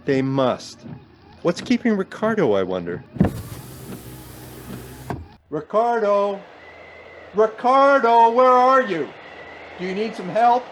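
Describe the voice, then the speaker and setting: A man's voice: high voice, very animated, slow, pauses often, a bit bright, slight background noise, full character voice. An actor in a radio play voicing an anxious, self-deprecating man stranded in a snowstorm. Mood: alarmed, shouting. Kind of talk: naturalistic radio play acting.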